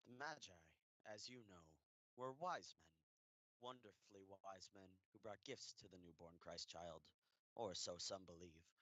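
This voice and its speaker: A man playing an earnest storyteller who narrates a radio play in a measured, theatrical way. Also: deep voice, sharp tone, dry recording, rough recording. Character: earnest storyteller narrator addressing dear listeners.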